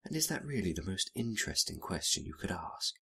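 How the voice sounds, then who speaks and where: A man voicing a sultry, teasing female outlaw in a noir detective drama, coy and unhurried. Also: low-pitched, sing-song, gravelly, sharp tone, slightly rough, exaggerated voice. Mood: flirtatious, teasing.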